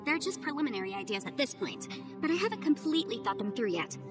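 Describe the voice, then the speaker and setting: A calm analytical adviser. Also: female voice, sits high, over music. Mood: thoughtful. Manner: measured, methodical, explaining. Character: young legal adviser, reasoned and analytical. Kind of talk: game cutscene voice acting.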